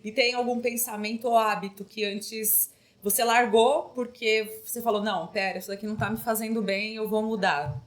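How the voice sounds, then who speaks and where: A chatty young woman hosts a relaxed podcast interview. Her voice sits a little high, and she laughs easily while her questions wander on at length. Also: moderate pace, few pauses. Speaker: podcast host. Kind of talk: casual interview chat.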